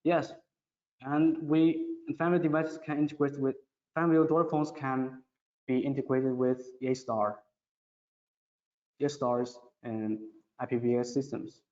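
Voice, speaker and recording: A man who is a non-native English speaker, answering audience questions as a webinar presenter, haltingly, in simple phrases. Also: medium pitch, steady tone, darkish tone. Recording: muffled audio in an echoey, reverberant room.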